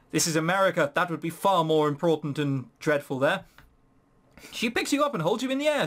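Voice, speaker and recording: A man with very lively, swooping intonation gives casual let's play commentary as host. He reads on-screen story text and breaks off into sarcastic, incredulous asides. His pitch moves up and down a lot as he rambles and questions things. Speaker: host of a gaming video.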